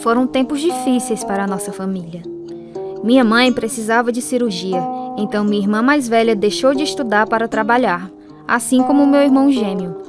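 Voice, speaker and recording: A woman's voice: on the high side, a little fast, music in the background, close to an everyday voice. A woman playing a woman recounting her youth in scripted radio drama narration. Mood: reflective. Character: woman recounting her troubled youth, also as earnest teenager.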